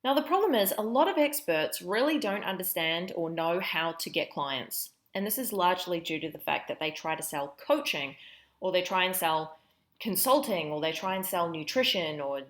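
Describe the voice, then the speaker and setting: A woman's voice: on the low side, a bit bright. A woman in her thirties with a New Zealand accent, giving an upbeat business coaching webinar, full of fillers. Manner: upbeat, enthusiastic, many fillers. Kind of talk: webinar presentation.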